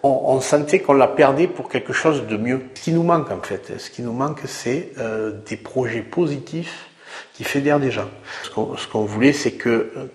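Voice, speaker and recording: A man giving reflective interview testimony as a local elected official, haltingly and repeating words. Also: mid-range voice. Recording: echoey room.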